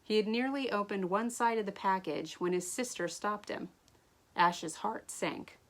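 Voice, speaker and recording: A woman author reading her own story aloud in an animated way, now and then stumbling and rereading. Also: medium pitch, measured pace. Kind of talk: expressive read-aloud storytelling.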